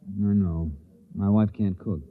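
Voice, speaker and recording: An actor playing an easygoing, friendly small-town tavern keeper in an old radio drama, chatty and folksy. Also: male voice, on the low side, steady tone, slow pace. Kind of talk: mid-century radio drama acting. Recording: tinny, band-limited vintage radio.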